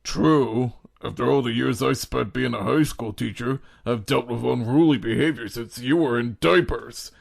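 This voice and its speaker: A man playing a creaky-voiced, genial older tenant. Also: medium pitch, moderate pace, hardly any pauses. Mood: teasing. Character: genial, teasing middle-aged former high school teacher, male. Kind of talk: amateur audio drama acting.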